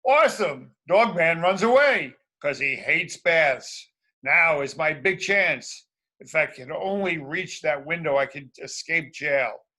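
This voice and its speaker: A gleeful, scheming cat crook from a children's storybook, acted out by a man reading aloud. He crows and taunts in a bright, raised voice, drawing his words out. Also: on the high side, animated, slow pace, full character voice. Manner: crowing, taunting, exclamatory. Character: gleeful scheming villain cat, cartoon escaped convict.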